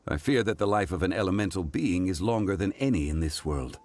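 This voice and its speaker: An actor playing an ancient god living in human form in a game, speaking in a calm, formal, composed way. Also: male voice, sits low, a little fast.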